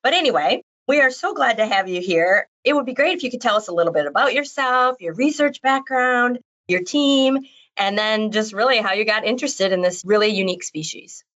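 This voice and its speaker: A woman's voice: a little high, animated, brisk, a bit bright, lo-fi sound. A woman podcast host who is a veterinarian, reading a scripted intro and then chatting eagerly with her guest. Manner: enthusiastic, effusive.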